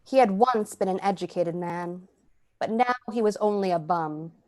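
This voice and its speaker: An actress playing a cold, confident rich woman near death, narrating her experiment formally and deliberately in old-time radio style. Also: medium pitch, dark tone, no echo, natural voice. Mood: cool, intent.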